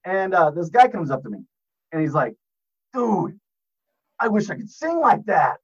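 A man's voice: sits high, big pitch swings, normal speed, slightly rough, dull audio. A man on a podcast as a guest, telling rambling, profane stories and laughing at his own jokes. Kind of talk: casual podcast chat, storytelling.

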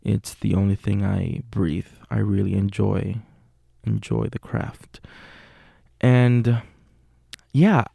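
A young man with a Puerto Rican Spanish accent hosts a solo podcast. His low, mellow voice carries a gravelly fry, and it sounds close and dry. He speaks in an earnest, unhurried way and often pauses on fillers to correct himself. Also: no room sound. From Puerto Rico. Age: young adult. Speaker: podcast host.

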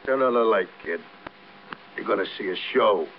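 An actor playing a big, childish, bragging hoodlum who is wounded and dying, in an old radio crime drama. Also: male voice, lowish voice, moderate pace, close to an everyday voice. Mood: bitter, fading. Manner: taunting, rambling, repeats himself. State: wounded, labored speech. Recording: muffled, noisy vintage radio recording.

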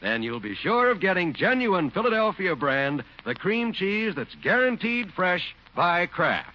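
A male announcer from the golden age of radio, polished and emphatic in his delivery. His voice is on the high side and very melodic, on a muffled old recording. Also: very animated, measured pace, a little hiss or hum. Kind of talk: 1940s radio announcing and advertising. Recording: dull vintage radio recording.

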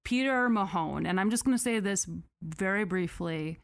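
A young American woman co-hosting a casual podcast, chatty and jokey, full of "like" fillers and quick asides. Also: medium pitch, animated, no room sound. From United States. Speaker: podcast co-host.

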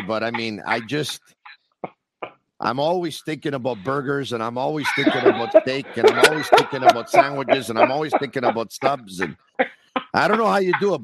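A man's voice: mid-range voice, vocal fry, very noisy. A man hosting a sports podcast, talking animatedly with emphatic repetition while losing his voice. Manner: animated, emphatic, repeats phrases. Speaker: podcast host. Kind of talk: sports talk, casual.

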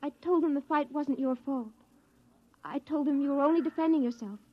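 An actress as a devoted young American settler woman in an old radio play. She speaks in a high, pleading voice. The sound is muffled and dated. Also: a little fast, natural voice. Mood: anxious, tender. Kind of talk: 1950s American radio drama acting.

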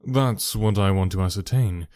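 A man reading an audiobook and voicing a calm, incisive detective who speaks in a measured, courteous, precise way. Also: deep voice, no room sound, studio quality, pitched below the performer's own voice, lightly acted.

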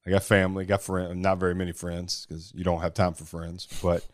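A man with a big, deep voice, talking bluntly about running a startup in a casual podcast conversation. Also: flat delivery, fairly quick, no room sound, clean studio sound. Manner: blunt and forceful, with mild swearing. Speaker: startup founder.

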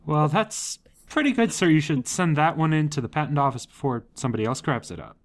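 A man's voice: a little high, expressive, fairly quick. A man playing in a tabletop audio drama, talking casually and improvising in character. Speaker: player on tabletop show. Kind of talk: casual improvised role-play.

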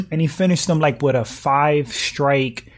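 A slightly hoarse man in his thirties, hosting a livestream and chatting casually while reading out viewer comments, often clearing his throat. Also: fairly high pitch, slow pace. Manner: relaxed slangy talk, frequent throat clearing. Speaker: livestream host reading chat. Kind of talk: casual chat.

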